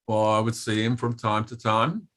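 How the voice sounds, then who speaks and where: An actor playing a defensive, evasive company safety official testifying at an inquiry in a play reading, formal and guarded. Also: male voice, fairly low pitch, little pitch movement, normal speed, a bit bright, close to an everyday voice. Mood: curt, guarded. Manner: guarded, formal, clipped denials with hesitant hedging. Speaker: actor in an online table read.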